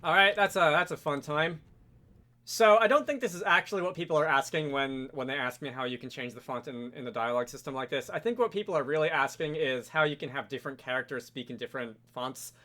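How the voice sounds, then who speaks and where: A man narrating a software tutorial casually and informally, thinking aloud as a host with a wry streak. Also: fairly high pitch, quick pace.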